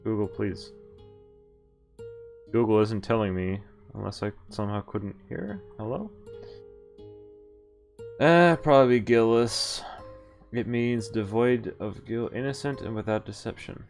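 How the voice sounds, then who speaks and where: Low-voiced man reading a visual novel aloud. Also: a little slow, vocal fry, long pauses, darkish tone. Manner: stumbles over words while reading, breaks off to comment. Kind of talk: casual game playthrough, reading story text aloud with offhand comments. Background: game music and sound effects.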